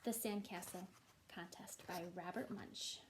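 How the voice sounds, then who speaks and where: A woman's voice: fairly low pitch, steady tone, unhurried, background noise. A woman teacher reading a children's story aloud expressively, with casual, encouraging asides.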